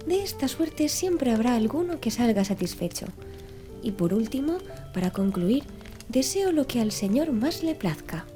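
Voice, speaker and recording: Woman voicing a polite young manservant, soft and mellow. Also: on the high side. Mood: deferential, content. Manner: formal, courteous. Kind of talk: audiobook character dialogue.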